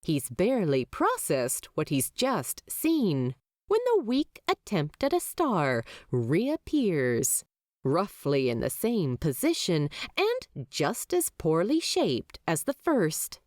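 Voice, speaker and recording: Woman reading a fanfic out loud, slow and dramatic, voice swings way up and down, super dry close mic. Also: dry recording. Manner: expressive storytelling with wide swings of pitch. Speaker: podfic narrator. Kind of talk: reading fan fiction aloud.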